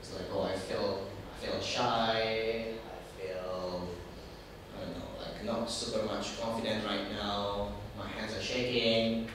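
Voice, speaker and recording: A man's voice: on the low side, little pitch movement, slow, distant mic, background noise. Italian-accented man lecturing in an echoey hall. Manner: conversational, repeats words, many fillers. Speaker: seminar speaker on job interviews. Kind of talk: informal career seminar talk. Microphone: distant from the microphone.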